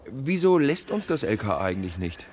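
An actor playing a folksy, skeptical police subordinate in a radio drama, with a wry manner. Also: male voice, mid-range voice, very animated, brisk, a little hiss or hum, lightly acted. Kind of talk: German radio drama acting.

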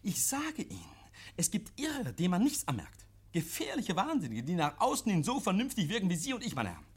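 An actor in a 1950s German radio play, playing a nervous, evasive private scholar who talks in a fussy, pedantic, over-polite and agitated way. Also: male voice, high-pitched, wildly swooping pitch, fairly quick, slightly rough, exaggerated voice. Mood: agitated, insistent. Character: nervous, evasive male private scholar hosting policemen, defensive and testy. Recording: vintage 1951 radio drama recording.

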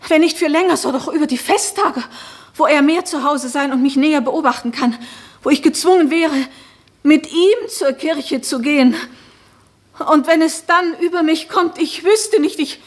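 A woman's voice: high voice, normal speed, lightly acted. Actress playing an anxious, pleading noblewoman. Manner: pleading, intense, confiding. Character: anxious noblewoman who believes she is someone else. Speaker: Florentine. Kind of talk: 1960s radio drama acting.

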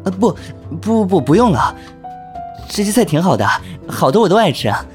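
A male actor as a shy, flustered young homebody in an audio drama. His pitch swings animatedly as he hesitates and stammers like someone hopelessly smitten. Music plays underneath. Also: very animated, moderate pace. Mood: shy, nervous. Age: young adult. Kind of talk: Chinese audio drama acting with inner monologue.

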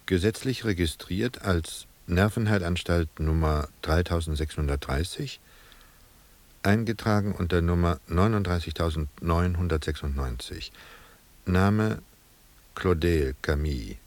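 Very deep, slow, impassive registrar. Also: male voice, a little mellow. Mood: detached, official. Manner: clipped, bureaucratic, detached. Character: impassive asylum registrar reading out admission records. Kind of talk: radio drama, formal record reading.

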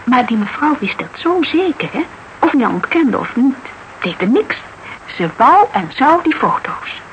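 A high-voiced young Dutch woman in a radio crime drama. She is shrewd and talkative, with very animated intonation and colloquial emphasis. The sound is slightly dull, like an old broadcast. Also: moderate pace, slight background noise. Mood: animated recounting. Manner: colloquial, emphatic, thinking aloud. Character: lively young woman, shrewd, talkative associate of a private detective.

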